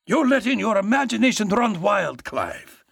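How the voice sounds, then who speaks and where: An actor in an audio drama playing an urgent yet deferential scientist and servant, with a raspy voice and formal manner. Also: male voice, high-pitched, very animated, normal speed, fairly bright tone, full character voice. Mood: questioning, dismissive.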